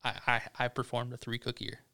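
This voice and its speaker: Gravelly-voiced joking man. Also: mid-range voice, completely flat delivery, quick pace, sharp tone. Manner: joking, boastful, swears freely. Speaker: podcast co-host. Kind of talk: casual podcast chat. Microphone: headphones on, podcast mic.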